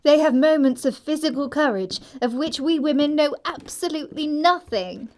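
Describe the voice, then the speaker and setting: A woman's voice: sits high, animated, normal speed, a little mellow. An actress playing an earnest, romantic young lady in a stage comedy, prim and formal. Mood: admiring. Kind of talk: theatrical stage comedy acting.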